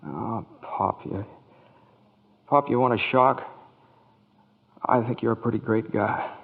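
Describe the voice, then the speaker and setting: A man's voice: mid-range voice, steady tone, slow pace, creaky. An actor playing an eager, restless young American man, stammering earnestly in 1940s radio style. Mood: earnest, torn. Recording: muffled, reverberant old radio broadcast.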